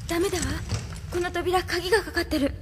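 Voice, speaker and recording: An actress playing an earnest, capable young resistance operative in an anime audio drama, speaking persuasively. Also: female voice, very high voice, fairly even intonation, a little fast, big-room sound, very noisy. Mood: assured.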